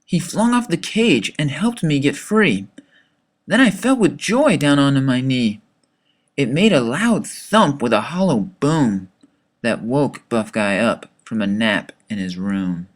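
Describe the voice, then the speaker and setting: A man reading a rhyming children's story as its author, with dramatic, expressive delivery. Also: medium pitch, measured pace.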